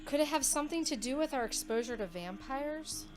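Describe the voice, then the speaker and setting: A woman's voice: high-pitched, expressive, fairly quick, music in the background. A woman playing a curious young academy recruit in an audio drama.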